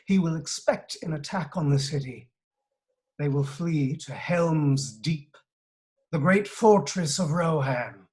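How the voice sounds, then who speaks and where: Serious war planner, slow and deliberate. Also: male voice, a little high, a little mellow. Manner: grave, deliberate. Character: grave male war strategist. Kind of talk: film acting.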